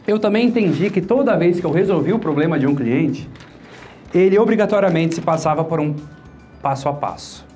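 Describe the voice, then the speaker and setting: Male Brazilian instructor, somewhat higher voice, didactic question-and-answer lecture delivery, light music bed underneath. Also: darkish tone. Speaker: hypnotherapist and instructor. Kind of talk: instructional lecture explaining a method with examples. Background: background music.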